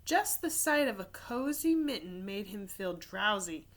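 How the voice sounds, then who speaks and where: A woman teacher reading a picture book aloud to children in an expressive storytelling style, with casual explanatory asides. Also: medium pitch, a little slow. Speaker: third grade teacher reading to pupils.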